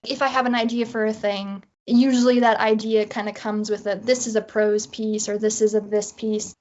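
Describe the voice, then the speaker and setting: A woman's voice: medium pitch, level tone, normal speed, darkish tone. A woman speaking reflectively and a little tentatively in a casual conversation about her work. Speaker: panel participant, creative writer. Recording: dull lo-fi audio.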